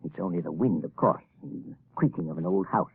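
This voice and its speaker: An actor playing a nervous, evasive male professor, stammering and husky in a 1930s radio drama. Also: deep voice, brisk. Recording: tinny 1930s radio broadcast.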